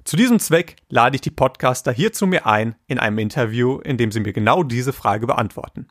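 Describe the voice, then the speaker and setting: A young man hosts a casual podcast interview. He talks in a chatty, joking way, with plenty of filler sounds. His voice sits at an ordinary middle pitch. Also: lively intonation, a little fast, fairly bright tone. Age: young adult.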